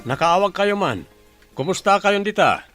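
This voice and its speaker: A man's voice: high-pitched, expressive, moderate pace, over music. A man with a Filipino accent playing a troubled businessman and son in a radio drama. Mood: troubled, earnest. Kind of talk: Ilocano radio drama acting.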